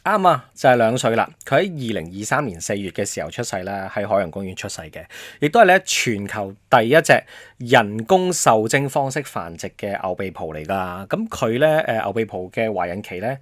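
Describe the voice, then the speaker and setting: Animated Hong Kong man hosting a quiz. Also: on the low side, brisk, few pauses, no echo, studio quality. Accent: Hong Kong Cantonese. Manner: explanatory, playful, prompting with questions and hints. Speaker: radio host and quizmaster. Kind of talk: casual promotional quiz chat.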